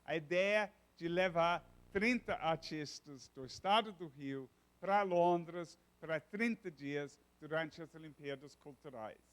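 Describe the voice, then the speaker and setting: Englishman lecturing in halting Portuguese. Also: fairly high pitch, a bit bright. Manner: halting, searches for words, many restarts. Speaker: university lecturer. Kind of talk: informal classroom lecture.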